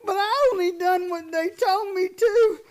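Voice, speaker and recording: A woman acting a simple, gentle drifter man and former soldier in a Western radio play, with naive, folksy American speech. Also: very high voice, unhurried. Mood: frightened, pleading. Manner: naive, plain-spoken, folksy rural speech.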